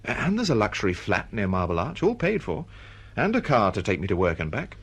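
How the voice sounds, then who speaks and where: Husky, wary novelist. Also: male voice, on the low side, wildly swooping pitch, brisk, heavily acted. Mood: excited, evasive. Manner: hesitant, politely protesting. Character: mild, wary male novelist, easily flustered. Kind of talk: BBC radio drama acting.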